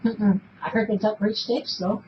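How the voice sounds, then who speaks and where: A woman's voice: medium pitch, fairly even intonation, normal speed. A woman conducting an interview, asking questions in a casual, conversational way. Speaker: police polygraph examiner, interviewer. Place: small police interview room. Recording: muffled, noisy interrogation room recording.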